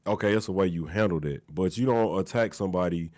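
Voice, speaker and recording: A man's voice: deep voice, fast talker, a little mellow. A man co-hosting a sports talk show, sounding stuffy and nasal from a cold, emphatic and chatty. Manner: emphatic, repeats "you know what I'm saying".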